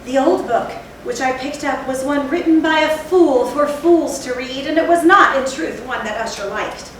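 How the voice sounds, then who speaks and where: High-voiced woman as a haunted Gothic narrator, echoey hall. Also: normal speed, close to an everyday voice. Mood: suspenseful. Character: haunted, fearful narrator recalling a night of horror. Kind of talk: theatrical Gothic storytelling in readers theatre. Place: theatre stage, recorded from a distance. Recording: echoey hall, distant mic, faint noise.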